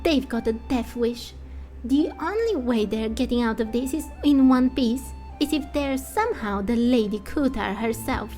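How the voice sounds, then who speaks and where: A woman's voice: fairly high pitch, expressive, unhurried, warm tone, soft background music. A woman voicing a stern temple guard in a fantasy game, curt and threatening as they hunt intruders.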